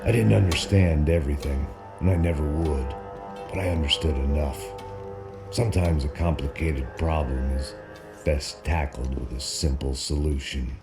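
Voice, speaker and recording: An actor playing a weary, hard-bitten ex-cop narrating in a sardonic noir voice-over. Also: male voice, a bass voice, normal speed, over loud music. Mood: grim.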